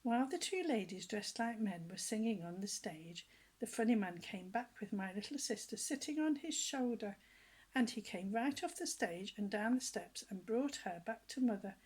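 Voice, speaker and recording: Breathy older woman reading a story. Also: medium pitch, normal speed. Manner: gentle, animated storytelling, drops to soft whispers; talks directly to young listeners with questions. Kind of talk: children's storybook reading with personal asides.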